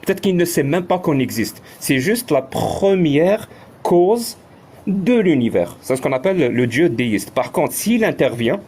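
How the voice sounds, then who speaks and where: A man's voice: fairly high pitch, lively intonation, unhurried, darkish tone, breathy edge. A man from Algeria, an atheist, explaining his ideas in a casual live debate and often repeating words as he searches for the next one.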